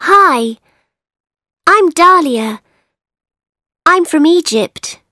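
A woman voicing children in a textbook dialogue for young learners, speaking carefully and clearly. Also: very high-pitched, expressive, drawn-out words, sharp tone, no room sound, studio quality, exaggerated voice. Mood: cheerful. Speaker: textbook audio voice actor.

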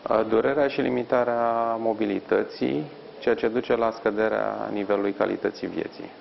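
A middle-aged man, an orthopedic surgeon, answering questions in a live TV interview from a hospital ward, measured and explanatory with frequent pauses. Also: fairly low pitch, fairly even intonation, creaky, muffled recording, slight background noise. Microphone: lapel mic.

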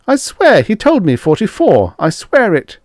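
A nervous young doctor, acted by a male narrator in a dramatic audio story. His voice jumps high as he pleads and protests, flustered and defensive, in a close, dry recording. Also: big pitch swings, moderate pace, darkish tone, no room sound, lightly acted. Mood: panicked pleading.

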